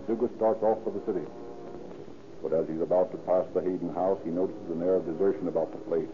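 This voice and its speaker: Low-pitched vintage radio narrator, a man. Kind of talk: scripted 1940s radio drama narration. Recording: tinny old radio broadcast. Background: prominent music.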